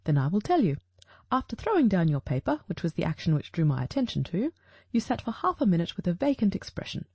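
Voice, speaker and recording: A woman's voice: lowish voice, big pitch swings, quick pace, darkish tone, breathy edge, dry recording, lo-fi sound, full character voice. A woman reading the part of a shrewd, self-assured male detective in an audiobook, precise and formal as if teaching. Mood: explaining calmly.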